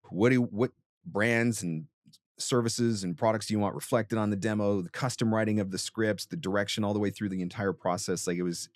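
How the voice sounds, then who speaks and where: A man hosting an interview as a voiceover coach, giving conversational advice in an emphatic, explanatory and encouraging way. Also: low voice.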